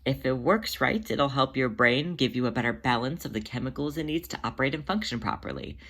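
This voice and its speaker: Motherly female cat medic for story podcast, voiced by the narrator; reassuring, patient, mid-pitched. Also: brisk, fairly bright tone. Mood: calm, earnest. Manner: soothing, patient, explaining. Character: Jelly Lorum, gentle reassuring motherly female cat healer.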